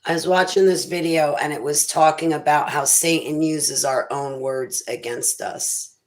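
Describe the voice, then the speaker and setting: A woman with a raspy voice chats on her live stream in a loose, wandering way. She takes her time over each thought. Her manner is unhurried and conversational. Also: low-pitched, fairly even intonation. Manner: rambling, faith-filled musings. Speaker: internet radio host. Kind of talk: casual livestream chat.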